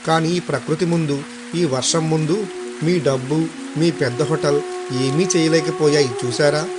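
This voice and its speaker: An earnest village man voiced by a male actor speaks respectfully in a somewhat high voice. It is animated moral-story dialogue, with prominent music underneath. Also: moderate pace, close to an everyday voice. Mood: firm, respectful.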